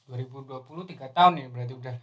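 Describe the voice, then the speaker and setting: A man's voice: mid-range voice, rapid-fire, crowd noise. A young man from Indonesia hosting a casual podcast interview, asking friendly questions with frequent backchannels. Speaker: podcast host.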